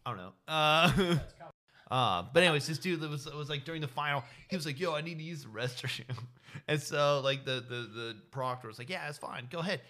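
A man's voice: a little high, brisk, pushed and bright. A young man co-hosting a podcast, telling a rambling story in casual chat and laughing often. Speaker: podcast co-host.

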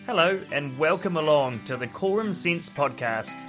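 A man's voice: fairly high pitch, expressive, moderate pace, a bit bright, faint music behind. A man hosting a podcast, opening with an upbeat, welcoming scripted intro. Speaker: podcast host.

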